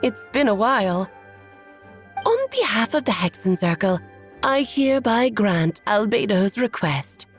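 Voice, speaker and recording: A woman's voice: mid-range voice, big pitch swings, measured pace, soft background music. Whimsical, lilting witch. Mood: cheerful. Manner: teasing, airy, theatrical. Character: whimsical, theatrical adult witch, playful and teasing. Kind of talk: anime game voice acting.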